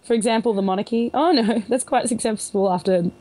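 A young woman co-hosting a casual podcast. Her voice sits a little high, and she laughs readily. She tosses in quick, playful quips. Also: mellow. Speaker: podcast co-host. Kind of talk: casual chat.

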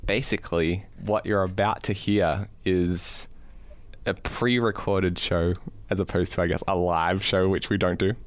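A man's voice: sits low, steady tone, a little slow, clean tone, dry recording. A man with an Australian accent co-hosts a podcast in casual banter, with dry, tongue-in-cheek humour. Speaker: podcast co-host.

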